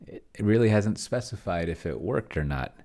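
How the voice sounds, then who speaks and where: A man's voice: on the low side, lively intonation, moderate pace, darkish tone. A middle-aged man giving casual screencast commentary, rambling and musing to himself as he reads along. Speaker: host playing solo tabletop roleplaying with a chatbot. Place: small cluttered indoor room.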